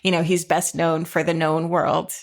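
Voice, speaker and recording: Creaky-voiced American woman podcast co-host. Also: sits low, flat delivery, moderate pace. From Jacksonville, Florida, USA. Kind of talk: casual literary chat.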